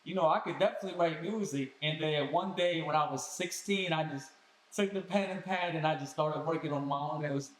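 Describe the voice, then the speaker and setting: A man's voice: on the high side, fairly quick. Laid-back young man, echoey room. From Colombia. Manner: laid-back street slang, relaxed storytelling. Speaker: guest musician. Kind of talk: casual podcast interview.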